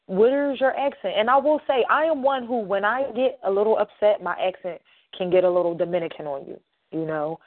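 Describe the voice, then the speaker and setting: A woman hosts her own podcast and speaks straight to her listeners in a casual, rambling monologue. Her pitch sits in the middle but jumps up often, and she leans on fillers as she thinks aloud. Her voice comes through a tinny, phone-like line with no room echo. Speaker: podcast host.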